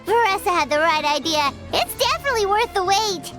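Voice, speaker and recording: A woman voicing a small, excitable, dramatic fairy companion with a squeaky, exclamatory delivery in a video game. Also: a little fast, soft background music. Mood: eager, doubtful. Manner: squeaky, refers to self in third person, exclamatory.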